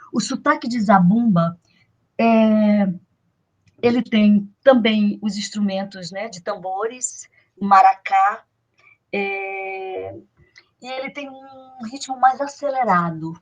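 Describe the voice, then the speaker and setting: A woman's voice: medium pitch, takes it slowly, lo-fi sound. A woman guest, explaining a folk tradition in an interview with many fillers and restarts.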